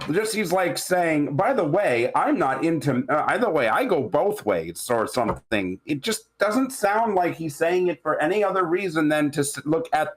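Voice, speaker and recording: A man giving casual critique in a halting, rambling way, often searching for words. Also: on the high side, rough recording. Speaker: table read participant giving feedback.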